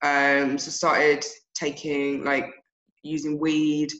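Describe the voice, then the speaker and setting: A woman's voice: sits low, completely flat delivery, takes it slowly, fairly bright tone, reverberant, muffled recording. A woman with an English accent, a podcast guest telling her personal story in a frank, self-deprecating, reflective way. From England. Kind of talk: candid personal story in an interview.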